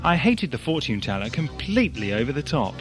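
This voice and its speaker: A man's voice: mid-range voice, very animated, brisk, over music. A man reading scripted letters aloud on a magazine programme. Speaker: reader of listeners' letters.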